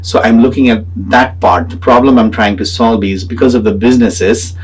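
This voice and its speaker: A man with a Nepali accent explaining his research as a graduate student in a halting way, pausing often as he talks. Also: mid-range voice, steady tone, slightly rough. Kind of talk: semi-prepared explanatory talk about own research.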